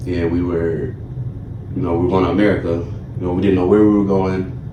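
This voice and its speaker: Male guest, late twenties, from Ethiopia, deep voice, halting casual storyteller, recorded at a distance in a roomy space. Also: flat delivery, dull audio. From Ethiopia, then Buffalo in the US from age eleven. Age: late 20s. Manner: halting, frequent "you know". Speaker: interview guest. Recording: roomy, distant mic, clear background noise.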